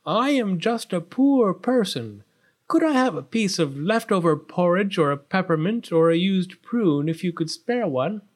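A man voicing a poor old wise woman in a storybook, with a deliberate, solemn manner. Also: high-pitched, lively intonation, pitched above the performer's own voice. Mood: pleading. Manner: pleading, then solemn pronouncements.

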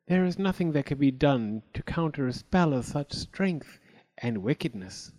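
A man's voice: fairly high pitch, animated, moderate pace, a little mellow, close to an everyday voice. A man voicing the oldest female fairy, who speaks formally and sorrowfully in a storybook reading. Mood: grave.

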